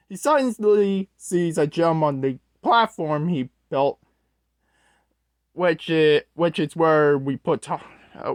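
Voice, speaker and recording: A performer voicing an awkward, easily flustered storyteller who haltingly reads a scripted scary story aloud. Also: medium pitch, dry recording, clean studio sound. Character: Strong-Arm, awkward, scatterbrained storyteller host character. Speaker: storyteller host.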